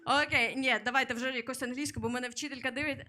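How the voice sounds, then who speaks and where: High-voiced Ukrainian woman doing halting stand-up. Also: steady tone, bright, forceful tone, slight background noise. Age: 20s. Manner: halting English, switching into her own language, laughing at her own jokes. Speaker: stand-up comedian. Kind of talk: stand-up comedy set. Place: comedy club stage with a live audience.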